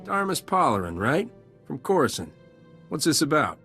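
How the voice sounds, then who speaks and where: A man's voice: a little high, sing-song, normal speed, pushed and bright, faint music behind, exaggerated voice. An actor playing a cocky, wisecracking male smuggler captain in a video game, flippant and dry. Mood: wary. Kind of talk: video game cutscene acting.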